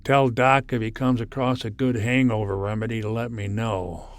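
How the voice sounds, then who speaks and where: Wry plain-talking spaceship crew guy man acting, middle pitch, folksy, old radio sci-fi play. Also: steady tone, normal speed, natural voice. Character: plain-spoken, wry male spaceship crewman. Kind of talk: old-time radio science fiction drama acting.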